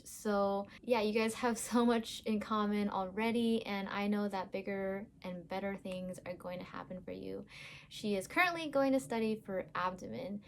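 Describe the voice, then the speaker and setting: A woman's voice: medium pitch, slight background noise. A young woman hosting a vlog about her sonography career, talking straight to her viewers in an upbeat, encouraging way. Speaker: host, sonographer.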